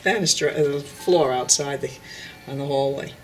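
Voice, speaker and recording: A woman's voice: rumbling low, moderate pace, faint music behind, low-quality recording. An older woman chatting casually and unscripted as she gives a home tour, meandering and correcting herself as she goes. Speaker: dollhouse owner giving a tour. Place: indoors at home, filming a dollhouse.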